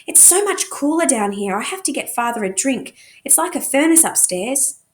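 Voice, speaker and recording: A woman's voice: sits high, sing-song, normal speed, pitched above the performer's own voice. A woman voicing an impatient, restless fourteen-year-old Victorian girl in a storybook, protesting as she talks. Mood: exasperated.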